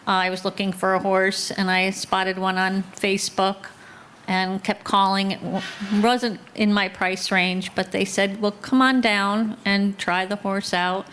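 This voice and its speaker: A woman at a memorial gathering, telling a story in a loud, chatty way. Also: mid-range voice, level tone, measured pace, a little hiss or hum. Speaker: memorial speaker. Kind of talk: casual storytelling. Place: outdoor barn gathering.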